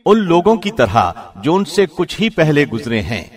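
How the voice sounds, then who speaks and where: A man reading a scripture translation from a script in the formal, measured manner of a radio presenter. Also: a little high, very animated. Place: studio.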